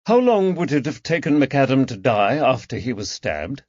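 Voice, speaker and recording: A man's voice: mid-range voice, sing-song, normal speed, a little mellow, no room sound. Man as a composed detective, slightly dull recording. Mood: hasty. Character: composed, inquisitive male police detective. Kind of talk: audiobook character dialogue.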